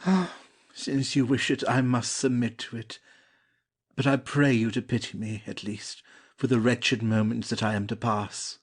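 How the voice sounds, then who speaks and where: Man acting lovelorn suitor, plaintive, courtly, husky, medium pitch, audio play. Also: fairly even intonation, a little slow. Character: lovelorn, flattering young gentleman suitor. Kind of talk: classic stage comedy audio play.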